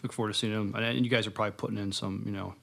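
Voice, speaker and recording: A man's voice: lowish voice, steady tone, very fast, gravelly, a bit bright. A man hosting a podcast interview in a casual, informal and somewhat hesitant way.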